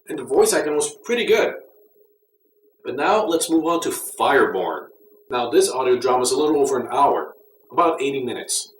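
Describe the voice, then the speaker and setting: A man giving a casual, conversational and mildly critical review as an audio drama reviewer. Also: medium pitch. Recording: roomy echo, distant mic, faint noise.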